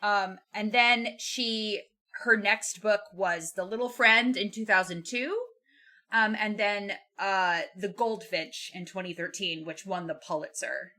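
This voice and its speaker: A woman's voice: mid-range voice, fairly even intonation, unhurried, sharp tone. A woman hosting a podcast, talking casually about books in a hesitant, conversational, joking way. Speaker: podcast host. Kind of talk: casual book discussion.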